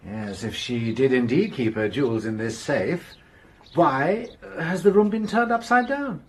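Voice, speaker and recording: An actor playing a shrewd, playful police inspector who chuckles and muses theatrically. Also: male voice, mid-range voice, a little slow, a little hiss or hum, close to an everyday voice. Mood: curious. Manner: theatrical, chuckling, lively and musing. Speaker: detective. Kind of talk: radio drama acting.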